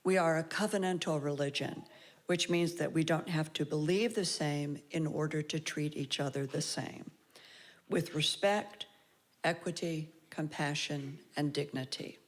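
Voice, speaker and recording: Measured woman leading a church welcome. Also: deep voice. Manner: measured, formal, reads announcements. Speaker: worship service leader. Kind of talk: congregational welcome and liturgy. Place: congregation sanctuary.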